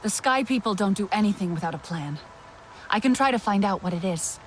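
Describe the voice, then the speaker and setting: A woman's voice: mid-range voice, expressive, fairly quick, close to an everyday voice. A woman plays a young outsider heroine of an alien people. Her voice is mid-range and natural, earnest and plainly conversational in a video game style, with a faint bed of noise. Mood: determined.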